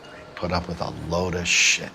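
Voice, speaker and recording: Low-voiced, world-weary club owner. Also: male voice, completely flat delivery, moderate pace, creaky, pushed and bright, slight background noise, full character voice. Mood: resigned. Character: world-weary, gruff middle-aged male 1940s club owner. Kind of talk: video game voice acting.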